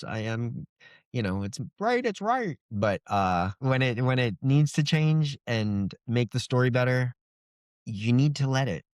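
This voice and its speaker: A man's voice: medium pitch, darkish tone, dry recording, studio quality. A middle-aged man speaking as an interview guest, telling things in a casual, reflective, conversational way. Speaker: fantasy author, interview guest.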